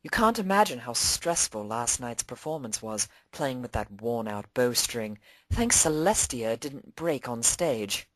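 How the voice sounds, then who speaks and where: A woman's voice: extremely low pitch, lively intonation, a little slow, few pauses, no echo, voice pushed down, heavily acted, poor audio. A woman voicing a refined, prim female pony cellist with polite, formal phrasing, in a fan fiction story reading. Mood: polite, composed.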